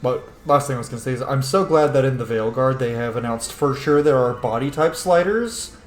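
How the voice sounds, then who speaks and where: A man giving casual, playful let's-play commentary in an animated voice. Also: medium pitch, fairly quick. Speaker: gaming streamer. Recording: roomy echo, faint noise.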